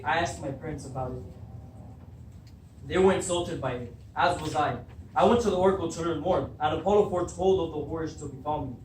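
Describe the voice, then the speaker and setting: A man's voice: a little high, close to an everyday voice. A young man acting a troubled, hot-tempered king in a readers theatre Greek tragedy, full of fear and guilt. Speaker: student actor. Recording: distant echoey room recording with background noise.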